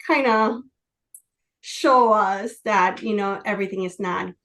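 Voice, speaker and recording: A woman in her forties from Chicago, a native Spanish speaker, telling personal stories as a podcast guest in an earnest, halting way with many fillers. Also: mid-range voice, a bit bright. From Chicago, USA. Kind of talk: casual interview, personal storytelling.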